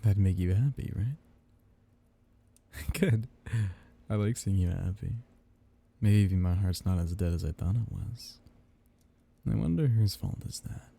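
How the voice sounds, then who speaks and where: A man playing a tender, possessive vampire count in an intimate ASMR roleplay, speaking in soft, teasing murmurs with a husky, gravelly voice. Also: low-pitched, unhurried, long pauses, exaggerated voice. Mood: tender, soothing.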